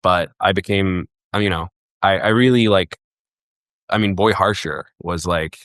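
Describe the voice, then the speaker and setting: A man's voice: sits low, a little slow, a bit bright, no room sound, clean studio sound. A young man from Egypt talking casually as a podcast guest, rambling with many fillers and restarts. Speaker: guest, festival booker.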